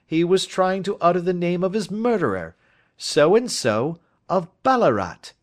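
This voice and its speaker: A precise, lecturing Victorian detective lays out his reasoning with sharp emphasis, voiced by a male audiobook reader. His voice sits a little high and swings widely as he expounds, step by step. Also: very animated, moderate pace.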